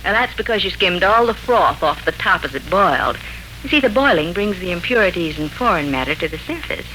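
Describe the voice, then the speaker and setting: A middle-aged woman playing a practical, patient mother in a 1940s radio drama, gently firm and instructive. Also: lowish voice, expressive, a little fast, rough recording. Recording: hissy 1940s radio recording.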